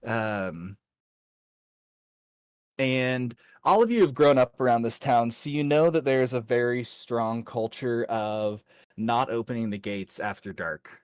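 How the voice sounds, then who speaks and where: A man running a tabletop game as game master, narrating and explaining rules in a casual, halting way. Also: mid-range voice, darkish tone, clean tone, no room sound.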